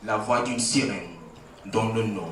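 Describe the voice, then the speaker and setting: A man's voice: on the low side, a little slow, fairly bright tone. Echoey, impassioned male slam poet. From Mauritania. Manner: declamatory, solemn, impassioned. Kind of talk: slam poetry recitation. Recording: very echoey, distant mic, faint noise.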